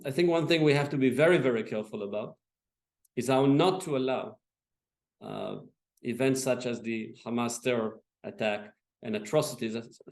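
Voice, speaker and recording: A man giving measured academic remarks at a panel, thoughtful, with frequent fillers and restarts. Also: mid-range voice, darkish tone. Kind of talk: lecture remarks.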